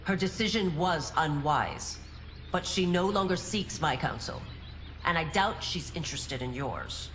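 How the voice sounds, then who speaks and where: An actress playing a dutiful guard of an empress in a video game, stern, curt and formal. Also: female voice, low voice, expressive, unhurried, slight background noise. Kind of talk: dramatic video game cutscene acting.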